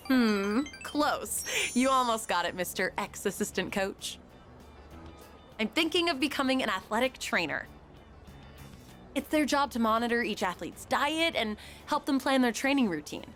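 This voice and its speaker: A woman's voice: a little high, lively intonation, normal speed, fairly bright tone, faint music behind. A woman playing an upbeat, sporty teenage schoolgirl in a video game, chatty, frank and playful. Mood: playful, hopeful. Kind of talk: English video game voice acting.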